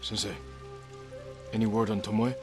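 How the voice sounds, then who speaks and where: A man plays a determined samurai warrior in a video game. His voice sits fairly low, solemn and earnest, in short resolute lines. Faint music runs behind him. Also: flat delivery, normal speed, close to an everyday voice. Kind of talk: dramatic video game acting.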